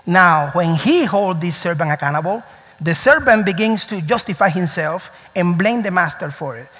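A male pastor delivers a lively sermon, telling stories with emphatic rises in a fairly high voice. His English grammar slips here and there. The sound carries the long reverb of a church hall. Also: moderate pace. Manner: animated, storytelling, emphatic. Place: church sanctuary.

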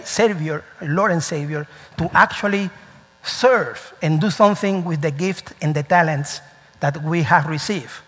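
A man preaching a sermon as pastor, animated and storytelling, with nonstandard English grammar. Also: on the high side. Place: church sanctuary. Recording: reverberant church hall.

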